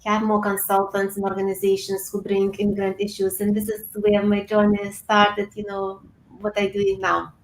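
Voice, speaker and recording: A woman's voice: mid-range voice, monotone, a little hiss or hum. Woman with lithuanian accent, level delivery, echoey room, video call, earnest guest. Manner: earnest, with frequent pauses and fillers. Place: home room with bookshelves.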